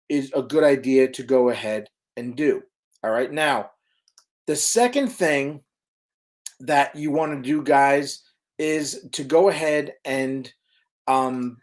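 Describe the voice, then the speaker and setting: Guy doing a live stream lesson for ems crews, middle pitch, talks loose with lots of ums and "right?". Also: a little mellow. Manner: chatty, many fillers and tag questions. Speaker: EMS educator. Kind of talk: casual live-stream teaching.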